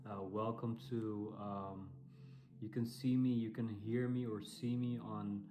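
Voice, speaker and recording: A man from the Netherlands with a Dutch accent, a ministry founder introducing himself to camera, speaking haltingly with many fillers and restarts. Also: lowish voice, level tone, dark tone.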